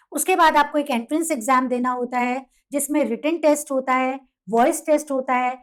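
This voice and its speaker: A woman with an Indian accent narrating a scripted career guidance explainer, setting out points one by one in an instructional way. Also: high voice. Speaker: presenter of career guidance videos.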